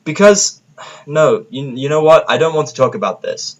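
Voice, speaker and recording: A man's voice: on the high side, big pitch swings, normal speed, pitched above the performer's own voice. Man acting a sarcastic, irritable guy. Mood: exasperated. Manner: sarcastic, bitter, profane. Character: irritable sarcastic human man living among ponies.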